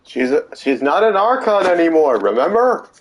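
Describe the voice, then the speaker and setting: A woman playing a game and commenting casually on the dialogue in a halting, repetitive way. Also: on the low side, very animated, a little hiss or hum, poor audio.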